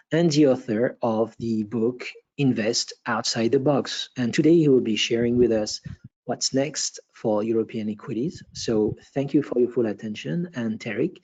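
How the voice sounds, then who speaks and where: A man's voice: medium pitch, darkish tone, breathy edge. Man, webinar moderator, formal intro, dull lo-fi call sound. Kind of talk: reading a speaker introduction.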